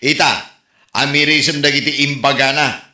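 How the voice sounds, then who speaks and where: A man's voice: mid-range voice, steady tone, normal speed, sharp tone. A man playing an embarrassed, scolding village husband in a comedy drama, grumbling as he talks. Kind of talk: Ilocano comedy drama acting. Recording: echoey room with music behind.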